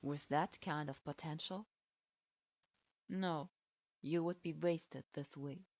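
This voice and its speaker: A woman voicing an imposing male clan head who speaks calmly, in a measured and patronizing way, recorded with a dull lo-fi sound. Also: low voice, no echo, pitched below the performer's own voice, heavily acted. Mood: dismissive.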